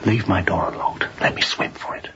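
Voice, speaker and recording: An actor playing a weary, haunted escaped seaman who confides in a hushed, halting way, as in a radio drama. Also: highs cut off, background noise, lightly acted. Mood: bitter, haunted. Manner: hushed, breathy, halting confession.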